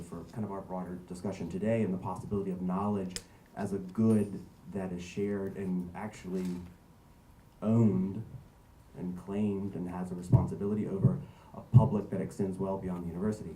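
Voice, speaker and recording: Deep dark-voiced man lecturing in a hall. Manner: lecturing, frequent um and tag questions like right. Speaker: panel speaker. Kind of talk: public talk, reading a law aloud then discussing it. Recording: roomy hall, distant mic, faint noise.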